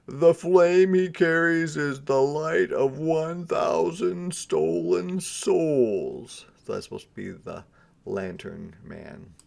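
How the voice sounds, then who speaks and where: A man reads a children's storybook aloud in the style of a teacher. His voice has a noticeable creak and he takes his time. He delivers the story dramatically and explains it in plainer asides. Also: a little high, slow pace, darkish tone.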